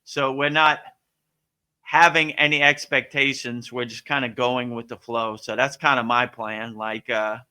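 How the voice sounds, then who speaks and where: A man hosting a live spiritual question-and-answer session, talking in a relaxed, rambling way with many fillers. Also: mid-range voice, measured pace, clean tone. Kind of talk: casual spiritual Q&A.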